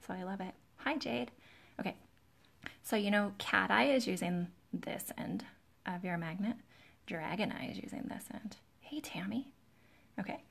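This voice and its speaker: A woman hosting a live nail tutorial in a chatty, relaxed way, with a soft, breathy, soothing voice that often drops near a whisper, close to the microphone. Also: mid-range voice, moderate pace. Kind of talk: chatty live-stream demonstration, greeting viewers. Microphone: close to the camera's microphone.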